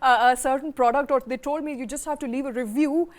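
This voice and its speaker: News anchor, woman, Indian accent, fairly high voice, reads bulletins and leads panel discussion. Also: fairly even intonation, fast talker, a loud crowd. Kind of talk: scripted news reading and studio discussion.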